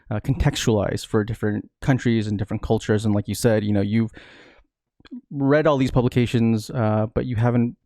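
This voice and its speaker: Host, male, warm low-key tone, dry close sound, measured questions with ums. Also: no room sound. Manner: measured, with filler ums while framing questions. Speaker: interview host. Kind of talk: interview questions.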